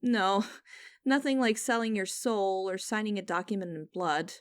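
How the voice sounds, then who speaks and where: A woman playing a cold, condescending female boss in an ASMR audio roleplay, speaking to the listener in a deliberate, commanding, mocking way. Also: medium pitch, clear, resonant tone, no echo, natural voice. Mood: cold, controlled. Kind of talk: ASMR roleplay acting, direct address to listener.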